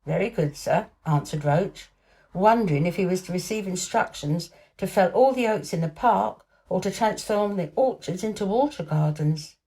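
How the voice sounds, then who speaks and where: A woman's voice: on the low side, lively intonation, normal speed, dark tone, poor audio. Woman narrating a children's story. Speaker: storybook reader.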